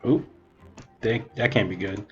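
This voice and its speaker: A man with a low voice gives casual commentary on a game he is streaming. He speaks slowly, with faint game music in the background. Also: steady tone, lo-fi sound. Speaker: streamer reacting to gameplay.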